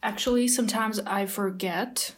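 A woman's voice: medium pitch, fairly even intonation, normal speed, breathy edge. A woman in her early twenties co-hosting a casual podcast with a friend, talking with lots of fillers and small laughs. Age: 20s. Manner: hesitant, many fillers, giggly. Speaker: podcast co-host, psychology student. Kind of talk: casual chat.

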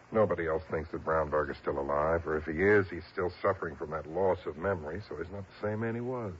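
A discouraging male police technician in an old radio play. His deep voice rises and falls emphatically as he pleads in exasperation. The recording is muffled. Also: slight background noise. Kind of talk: 1950s-60s radio drama acting.

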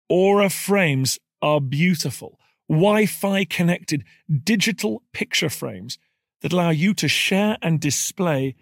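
A man's voice: a little high, dry recording, clean studio sound. A man presenting an advertisement in a conversational, scripted read.

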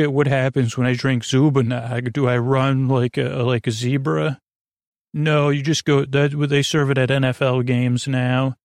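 A man hosting a bedtime podcast in a rambling, halting monologue, with a creaky voice, often repeating himself and trailing off. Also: mid-range voice, dry recording, clean studio sound. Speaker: podcast host.

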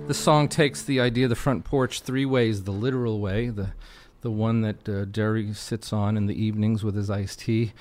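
A man's voice: fairly low pitch, fairly even intonation, moderate pace, hardly any pauses, a little mellow, faint music behind. A man speaking as a guest folk musician in a casual interview, explaining things earnestly. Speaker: guest folk singer-songwriter and broadcaster.

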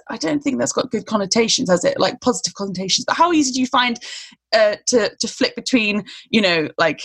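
A woman's voice: medium pitch, a bit bright. Chatty woman hosting a chat. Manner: chatty, laughs often, many fillers. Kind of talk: casual chat.

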